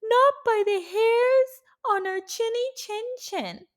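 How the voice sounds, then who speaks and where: A woman voicing a small, defiant little pig in a children's fairy tale, squealing emphatically. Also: slow pace. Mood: frightened defiance. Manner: high-pitched, squealing, emphatic refusals. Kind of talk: children's storybook character voice.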